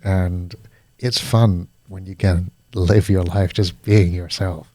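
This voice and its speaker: A man's voice: low voice, fairly even intonation, takes it slowly, mellow, no room sound, clean studio sound. A man with a British accent, a coach talking reflectively as a guest in a casual podcast conversation, often searching for his words.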